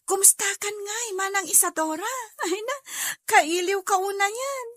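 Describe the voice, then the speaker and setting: A woman playing a sweet, affectionate, excitable young woman in an audio drama. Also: very high-pitched, moderate pace, no echo, clean studio sound. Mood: excited, affectionate. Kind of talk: amateur Filipino audio drama acting.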